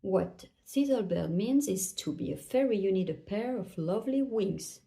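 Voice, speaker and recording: A woman's voice: medium pitch, animated, moderate pace, vocal fry, warm tone. A woman voicing a regal, teasing fairy queen with a gracious but condescending manner in a storybook.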